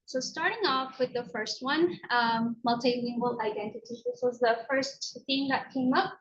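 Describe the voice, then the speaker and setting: A woman's voice: high-pitched, normal speed, a bit bright, roomy sound. Woman presenting, hesitant. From Turkey. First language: Turkish. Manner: hesitant, with frequent uh and um. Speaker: conference presenter. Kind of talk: academic conference presentation. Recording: dull lo-fi recording with faint noise.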